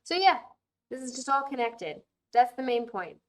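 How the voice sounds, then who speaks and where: A woman's voice: high voice, big pitch swings, moderate pace. A woman host giving earnest, emphatic casual commentary while playing a video game, muttering asides to herself as she plays.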